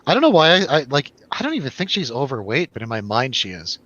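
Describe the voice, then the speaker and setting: Man, casual film-show host, rambling and sweary, bright punchy voice, dry but slightly dull recording. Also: mid-range voice, huge pitch swings, fast talker, dry recording. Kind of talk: casual chat, film review over drinks.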